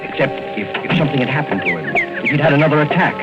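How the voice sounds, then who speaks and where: A man's voice: fairly high pitch, expressive, very fast, lightly acted. Muffled vintage radio suspense narrator, a male actor. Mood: urgent, suspenseful. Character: brooding, suspenseful male storyteller. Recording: muffled old radio, music underneath. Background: loud music.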